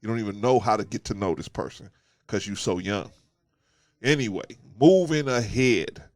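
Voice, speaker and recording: A man hosting his own commentary show, talking through a stuffy nose from a cold in a fairly low voice. He lectures emphatically, drives his points home by repeating them, and keeps checking in with "right?". The sound is dry, with no room echo. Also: moderate pace, darkish tone. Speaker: host of a commentary channel. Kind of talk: casual opinion monologue.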